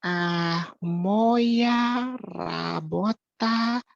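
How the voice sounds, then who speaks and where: Very slow woman student, halting. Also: medium pitch, creaky, no room sound, muffled recording. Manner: halting, word-by-word beginner.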